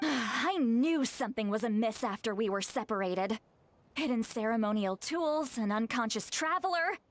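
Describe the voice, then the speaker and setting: A woman's voice: a little high, animated, normal speed, no room sound, close to an everyday voice. A woman playing a feisty, exasperated granny in a video game, stern and dramatic as she scolds. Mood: stern, sarcastic.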